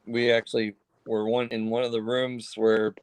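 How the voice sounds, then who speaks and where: A man from Michigan hosting a casual group video call, telling a story in a halting, rambling way. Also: medium pitch, level tone, clean tone, dry recording. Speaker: host.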